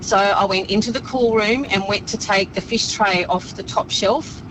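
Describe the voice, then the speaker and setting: A woman's voice: mid-range voice, normal speed. Woman caller over noisy background. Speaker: radio caller. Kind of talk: casual anecdote. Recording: noisy phone-in, vehicle ambience.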